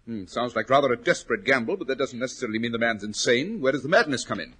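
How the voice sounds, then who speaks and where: An urbane sleuth in an old radio mystery. He questions with dry courtesy and composure, his pitch moving freely in a lightly husky voice. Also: lively intonation, quick pace, a bit bright. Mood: dry, probing. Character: shrewd, composed male detective, courteous and dryly sceptical. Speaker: actor in a radio drama. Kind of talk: 1940s radio acting. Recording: old 1940s radio recording.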